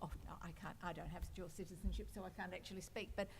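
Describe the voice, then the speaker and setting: A woman's voice: on the low side, steady tone, fast, creaky. A woman speaking as a panelist, restarting as she goes, in an echoey hall. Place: large indoor event hall. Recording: echoey hall, noisy room ambience.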